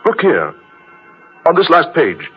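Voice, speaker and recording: An actor playing a sober ship's officer in an old-time radio drama. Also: male voice, a little high, huge pitch swings, slow, soft background music, full character voice. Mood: urgent. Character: sober ship's officer reading out a fateful log. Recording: muffled, noisy old radio broadcast.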